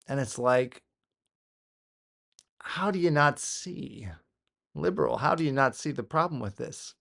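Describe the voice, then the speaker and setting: Exasperated American man with mid-pitched voice. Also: animated, creaky, a bit bright. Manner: halting, repetitive, exasperated. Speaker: political commentator. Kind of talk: off-the-cuff reaction commentary.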